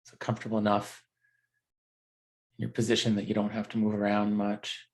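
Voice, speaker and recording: A man leading a guided body scan meditation as a teacher, speaking gently and unhurriedly in a halting, unscripted way with frequent pauses. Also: fairly low pitch, monotone.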